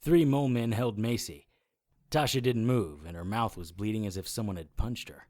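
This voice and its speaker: Man playing a low-voiced, hard-boiled monster hunter. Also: moderate pace, darkish tone, close to an everyday voice. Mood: tense. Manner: hard-boiled, matter-of-fact. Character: tough, defiant male private investigator, sword-fighting monster hunter. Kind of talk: first-person audio drama narration.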